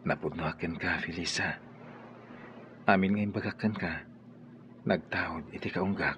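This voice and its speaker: An actor with an Ilocano accent playing an ardent gentleman pleading his love in a radio drama. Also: sits low, normal speed, highs cut off, a little hiss or hum. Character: ardent gentleman employer wooing his maid.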